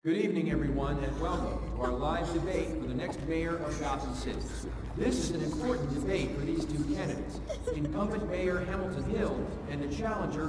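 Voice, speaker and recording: An actor playing a formal, emphatic debate moderator in a video game, announcing to the public. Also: male voice, on the high side, background noise, natural voice. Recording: very echoey hall, distant, music.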